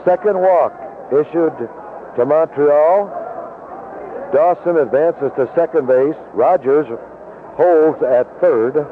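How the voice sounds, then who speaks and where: A man's voice: a little high, slow, pauses often. Noisy old radio baseball announcer, man. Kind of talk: live sports commentary. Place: outdoor ballpark broadcast booth. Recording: noisy narrow-band 1970s AM radio broadcast.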